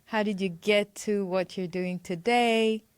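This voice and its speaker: A woman hosting a podcast interview. She speaks with enthusiasm and affection toward her guest in a smooth, clear voice. The recording is dry and close. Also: medium pitch, fairly even intonation, unhurried, no echo. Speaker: podcast host.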